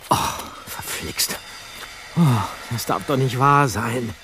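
An actor playing an easygoing, excitable teenage boy detective in a radio play, casual and expressive. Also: mid-range voice, slow pace, noisy background, close to an everyday voice. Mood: flustered. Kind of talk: German radio play acting. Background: music.

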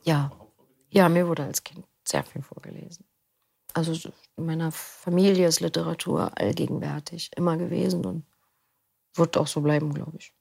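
A middle-aged woman with a low, gravelly voice, talking through her answers in a loose, thoughtful way. She restarts often and fills pauses with small hesitations. The sound is dry and close. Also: warm tone, no room sound. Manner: thoughtful, rambling with restarts and fillers. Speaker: interview guest, audiobook narrator. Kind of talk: casual interview answers.

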